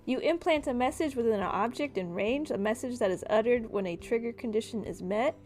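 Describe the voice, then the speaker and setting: A woman's voice: fairly high pitch, fairly quick, a little mellow. A woman acting as game master, casually narrating a tabletop game and explaining its rules. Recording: music behind the voice. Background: background music.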